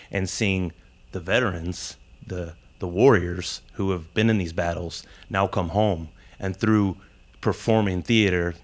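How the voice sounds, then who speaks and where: Deep, even-toned earnest man. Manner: plainspoken, earnest. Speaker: audience member. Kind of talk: earnest reflective comment.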